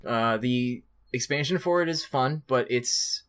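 A man with an average-pitched voice co-hosts a podcast. He talks in a loose, conversational way, as if chatting among friends. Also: a little slow, a bit bright, clean tone. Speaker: podcast co-host. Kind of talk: casual gaming podcast chat.

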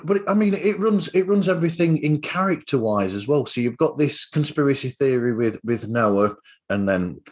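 A man's voice: medium pitch, expressive, normal speed. A man hosting a casual interview, talking in a rambling, enthusiastic way. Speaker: podcast host interviewer.

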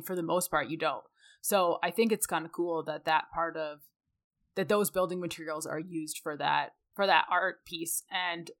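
Low-voiced Canadian woman podcast host. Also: fairly bright tone. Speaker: mechanical engineer. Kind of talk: casual conversational explaining.

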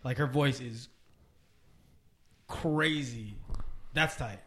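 A man in casual chat, chiming in with slangy agreement and quick remarks. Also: medium pitch, a bit bright, a little hiss or hum.

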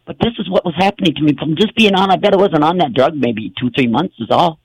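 A man's voice: a little high, quick pace, low-quality recording. A man hosting a talk show, telling stories in a rambling, casual way with many fillers and restarts. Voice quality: gravelly, husky.

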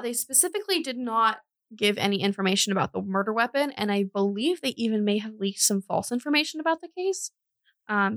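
A woman tells a true crime story in a chatty, conversational way, dropping in animated asides. Her voice has a noticeable creak at a middling pitch, and the sound is very dry, with little room around it. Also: no room sound. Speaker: true crime podcast host.

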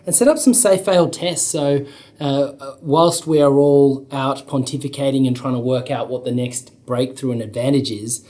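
Male podcast guest, consultant, methodical advice, middle pitch. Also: normal speed, mellow. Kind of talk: conversational advice.

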